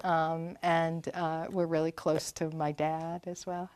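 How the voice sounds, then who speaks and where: A woman's voice: deep voice, level tone, slow, a little mellow, no echo. Chatty woman, dry close sound. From Maine. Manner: chatty, rambling with many fillers and restarts. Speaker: performer and guest. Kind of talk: conversational interview storytelling. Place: public library talk.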